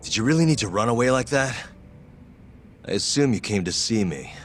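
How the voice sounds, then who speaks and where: A man's voice: medium pitch, lively intonation, a little fast, soft background music, close to an everyday voice. A man playing a cool, persistent young lawyer-detective in an English-dubbed game drama, with a probing manner.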